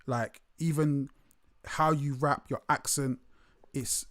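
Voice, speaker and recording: A man, interviewing a guest in a relaxed, animated way and repeating words as he talks. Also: medium pitch, slow, no echo, clean studio sound. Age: late 30s. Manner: animated, repeats words, lots of slang fillers. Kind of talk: casual interview chat.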